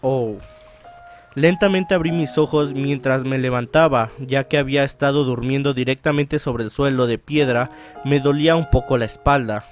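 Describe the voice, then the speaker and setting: A man's voice: medium pitch, steady tone, moderate pace, faint music behind. A man hosting a let's play, reading visual novel narration aloud and now and then stumbling and rereading phrases.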